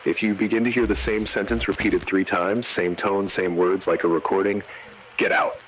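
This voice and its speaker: A man voicing a grave emergency announcer in an analog horror video, giving measured, solemn warnings. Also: deep voice, fairly even intonation, slight background noise, natural voice, poor audio. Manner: measured, ominous, short solemn sentences. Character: grave male emergency broadcast announcer issuing eerie warnings. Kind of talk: analog horror scripted narration.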